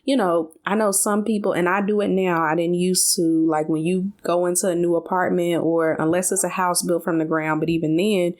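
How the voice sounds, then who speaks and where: A woman's voice: lowish voice, steady tone, brisk, hardly any pauses, darkish tone. Woman podcaster telling stories casually. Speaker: podcast co-host. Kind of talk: casual conversational storytelling.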